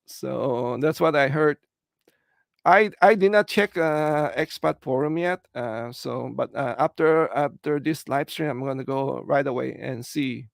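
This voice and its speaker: Halting middle-aged Filipino-accented man, gravelly. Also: mid-range voice, no room sound, clean studio sound. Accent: Filipino English. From Philippines. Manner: halting, full of "uh" and "you know" fillers. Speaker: livestream host. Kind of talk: casual livestream chat, reading viewer comments.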